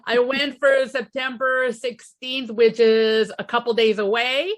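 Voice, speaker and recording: Chatty higher-pitched woman podcast host. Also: little pitch movement, unhurried. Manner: chatty, laughs often, reads from a list. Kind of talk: casual chat.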